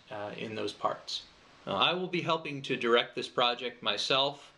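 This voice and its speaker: A man, a writer presenting his project, delivering a scripted crowdfunding pitch. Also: poor audio.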